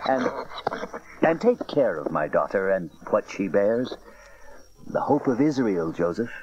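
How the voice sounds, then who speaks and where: A man's voice: on the low side, unhurried, background noise. A man playing a hearty, teasing middle-aged father of a grown daughter in an audio Bible drama. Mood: tender, earnest. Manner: jovial, teasing, animated. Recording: muffled cassette tape.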